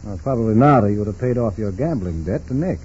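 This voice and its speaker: Man playing a very mellow vintage radio G-man. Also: lowish voice, animated, fast, lightly acted. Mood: calm, pointed. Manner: businesslike, matter-of-fact questioning. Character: calm, probing male FBI special agent. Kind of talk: 1940s radio acting. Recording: muffled, noisy 1940s radio recording.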